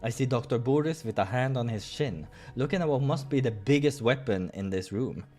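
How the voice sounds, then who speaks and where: A man hosting a playthrough, reading a visual novel aloud in an expressive way with casual asides. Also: medium pitch, fairly quick, soft background music. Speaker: let's-play host.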